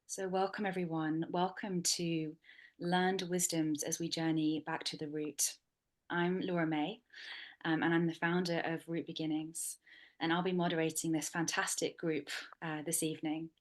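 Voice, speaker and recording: Woman host on a video call, low flat soft voice, lots of ums, calm moderator vibe. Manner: soft, measured, with frequent ums. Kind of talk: gentle reflective moderating of an online panel.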